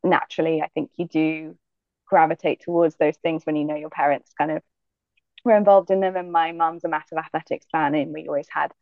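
A young woman from Jamaica with a low, gravelly voice talks through her answers in a relaxed, thoughtful way, pausing on frequent fillers. The sound is dry and a little dull. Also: no room sound. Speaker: guest athlete. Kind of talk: interview answers.

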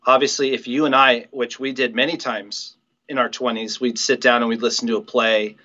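A man's voice: mid-range voice, little pitch movement, normal speed, pushed and bright. A middle-aged man talking casually as an interview guest, reflective and often qualifying what he says. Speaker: guest, audio play writer and director. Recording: slightly dull, lo-fi sound.

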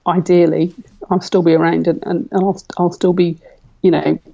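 Woman, forties, gravelly and flat-toned, muffled lo-fi line; relaxed interview guest who thinks aloud. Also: lowish voice, moderate pace. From Britain. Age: 40s. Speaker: guest athlete. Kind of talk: casual conversational interview.

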